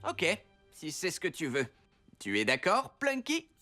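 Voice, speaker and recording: A man's voice: on the high side, a little slow, music in the background. A man playing an upbeat mentor of young superheroes, reassuring and encouraging, in a children's cartoon dub. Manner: reassuring, animated.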